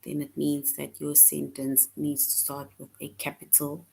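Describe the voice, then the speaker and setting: Slow, low-voiced woman teaching. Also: completely flat delivery, creaky, warm tone, husky. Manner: slow, patient, reads answer options aloud. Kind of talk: online grammar lesson for children.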